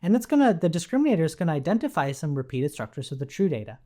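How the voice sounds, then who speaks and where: A man giving an informal technical tutorial, thinking aloud and often checking in with a quick "right?". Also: on the high side, big pitch swings, dark tone. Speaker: instructor.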